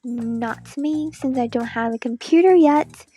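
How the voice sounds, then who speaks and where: Woman, mostly American accent, high voice, slow pace, casual stream chat, playful. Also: warm tone, slightly rough, soft background music. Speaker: streamer, digital artist. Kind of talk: casual livestream chat while drawing.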